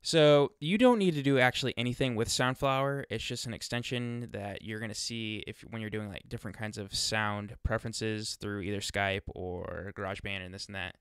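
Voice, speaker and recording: A man's voice: lowish voice, moderate pace, hardly any pauses, fairly bright tone, clean tone, no room sound. Casting: male how-to presenter, clean resonant tone, informal and chatty, dry close-mic sound. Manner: informal, chatty, thinking aloud while demonstrating. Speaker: tutorial presenter. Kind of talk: casual screen-recorded software walkthrough. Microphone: close USB microphone.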